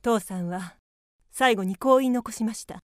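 A woman's voice: on the high side, normal speed, no echo, clean studio sound, lightly acted. A woman playing a solemn, steadfast widowed mother in a radio drama, grave and restrained. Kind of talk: theatrical radio drama acting. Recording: music behind the voice.

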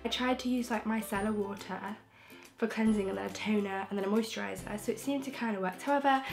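A young woman from England, croaky from a throat infection, chatting frankly and rambling with restarts as a beauty vlogger in her bedroom. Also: medium pitch, quick pace, soft background music. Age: young adult. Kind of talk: casual chatty vlog. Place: bedroom, indoors. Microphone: camera in front, close framing.

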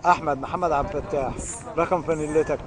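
A man with an Egyptian Arabic accent interviewing players on a football pitch, brisk and repeating answers back. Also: steady tone. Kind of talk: rapid quick-fire questions. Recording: outdoor crowd behind.

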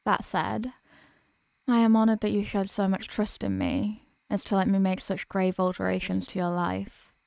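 A woman acting as a devoted, centuries-old vampire girlfriend in an intimate ASMR roleplay, speaking softly and formally in a caressing, protective way. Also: medium pitch, animated, gravelly, no room sound. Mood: grateful, loving. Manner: soft, slow, formal, caressing delivery. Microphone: close microphone.

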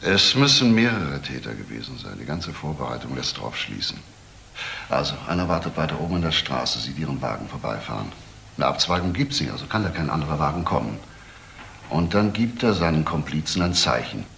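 An actor playing a middle-aged senior detective in a German crime radio play, questioning deliberately and insistently. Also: male voice, extremely low pitch, sing-song, creaky, fairly bright tone, reverberant, slight background noise, full character voice. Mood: probing, deliberate.

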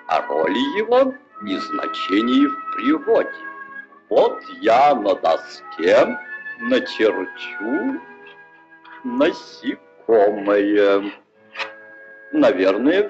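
Bear teacher, 1940s cartoon: pompous, declamatory, wildly varied pitch, music behind. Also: male voice, full character voice. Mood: instructive. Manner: theatrical, declamatory, deliberate. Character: pompous adult male bear schoolteacher. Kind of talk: rhymed 1940s cartoon acting. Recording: dull old film soundtrack with music.

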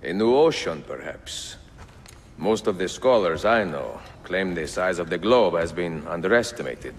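A man playing a courteous, composed middle-aged Italian assassin in a video game, speaking English with an Italian accent in a measured way. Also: sits low, animated, a little hiss or hum. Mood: warm, thoughtful. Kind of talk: game cutscene acting.

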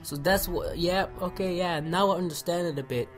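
A man with a cold and a slightly hoarse voice, casually commenting on a game and stumbling over his words. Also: high-pitched, soft background music. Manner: halting, repeats words when unsure. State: has a cold. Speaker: gameplay commentator. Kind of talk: casual let's play commentary.

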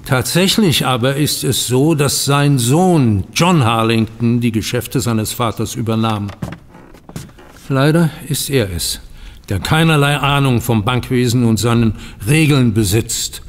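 A man's voice: medium pitch, unhurried, lightly acted. A man playing a courteous, anxious banker in a German radio play, speaking in formal, flowery phrases. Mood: rueful. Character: polite, anxious male banker in financial ruin. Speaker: actor in an audio drama. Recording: long room reverb.

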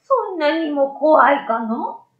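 Woman voicing a slow, curious old goblin spirit. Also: sits high, big pitch swings, roomy sound, phone-quality sound, voice pushed up, full character voice. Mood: mild, curious. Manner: drawn-out, archaic old-man speech. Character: curious old male yokai, mild, easily amazed. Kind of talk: storybook radio drama reading.

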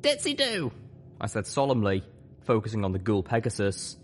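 A narrator reading a scripted story in the first person, with measured, expressive delivery. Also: mid-range voice, slight background noise. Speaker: audiobook reader.

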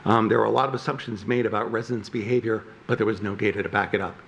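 A man's voice: fairly low pitch, steady tone, racing, vocal fry, fairly bright tone, slight background noise. A middle-aged man speaking at a podium microphone in a council chamber, earnestly making critical points with frequent uh and um fillers. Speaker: resident giving public comment. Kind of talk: public comment at a zoning meeting.

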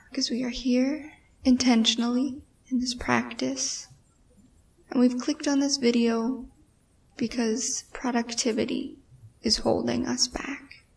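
A woman guiding a meditation in a measured way with pauses, her breathy, creaky voice often trailing toward a whisper. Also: fairly high pitch, little pitch movement, recorded from a distance. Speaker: meditation guide. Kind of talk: guided meditation.

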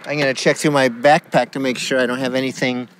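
A man asks questions casually as an interviewer out on location. His voice is mid-pitched, and there is clear noise in the recording. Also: little pitch movement, fast.

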